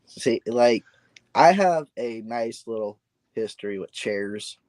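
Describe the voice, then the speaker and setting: A man's voice: medium pitch, expressive, slow pace, a little mellow, dry recording, low-quality recording. A man chatting casually as a podcast guest, laid-back and rambling, often restarting his sentences. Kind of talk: casual chat.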